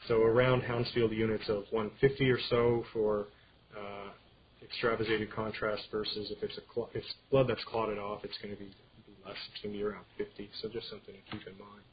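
A man giving an informal medical slide lecture, explaining things in a halting way. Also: fairly low pitch, flat delivery. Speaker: radiology lecturer. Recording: dull screen-capture audio with clear background noise.

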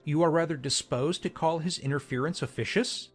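A woman voicing a genial, formal gentleman colonel in a measured, understated way for a dramatized audiobook. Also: low voice, slightly rough, soft background music. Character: genial gentlemanly English colonel, adult man, lightly jesting. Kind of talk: dramatized audiobook character dialogue.

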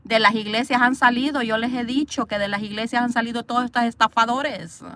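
A woman's voice: on the high side, fairly even intonation, fairly quick, sharp tone. A woman vlogging from a parked car into her phone, bluntly scolding and gossiping as she reads live comments. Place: parked car, outdoors view. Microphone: phone camera.

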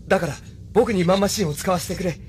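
An actor playing an earnest, intense young mecha pilot hero in a Japanese radio drama, with dramatic delivery. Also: male voice, high-pitched, quick pace, a little hiss or hum, lightly acted. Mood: grave resolve.

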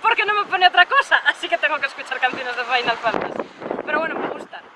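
Young girl, woman in her 20s, high pitched, jokey casual chat, super windy, mic kinda far away. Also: lively intonation, quick pace, crowd noise. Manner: informal, playful, joking. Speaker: gaming video creator being interviewed. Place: outdoors by the sea, windy. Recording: wind-battered outdoor recording, distant mic.

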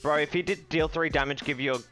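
A young man streaming a card game from a small room, swearing freely and reacting loudly to each play. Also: a little high, quick pace, faint music behind. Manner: profane, excitable, shouting when upset. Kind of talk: live game stream commentary.

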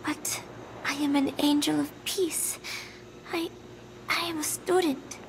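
A woman playing a gentle, confused young student in a video game, speaking softly and hesitantly and trailing off. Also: sits high, steady tone, slow, vocal fry, slight background noise, exaggerated voice, low-quality recording. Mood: confused, weary. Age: young adult. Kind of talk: dramatic video game acting.

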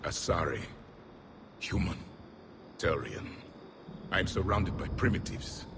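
A man's voice: deep voice, expressive, unhurried, creaky, noisy background, heavily acted. An actor playing a proud, bitter male alien soldier who talks in a haughty, clipped, contemptuous way in a video game cutscene.

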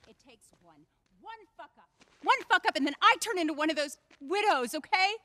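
A woman's voice: very high-pitched, animated, a little fast, bright, forceful tone, a little hiss or hum, heavily acted. A woman playing a tough, protective survivor leader in her thirties in a video game, firm and blunt, with an American accent. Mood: distressed. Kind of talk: cinematic video game acting.

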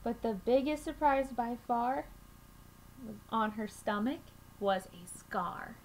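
High-voiced young woman reading slowly to children. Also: animated. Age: young adult. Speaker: children's storytime reader at a school. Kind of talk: reading a picture book aloud to children. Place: small room at home, indoors. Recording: noisy home webcam recording.

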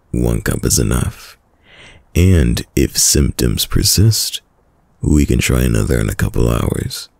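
Male actor as affectionate boyfriend, ASMR roleplay, dark mellow gravelly voice, unhurried, soft-spoken, soothing. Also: no room sound, exaggerated voice. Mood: caring. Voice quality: very deep, gravelly. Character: tender, teasing young boyfriend caring for sick partner.

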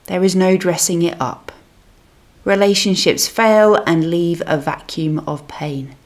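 A woman minister reading a scripted devotional reflection in a measured, solemn manner. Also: fairly low pitch.

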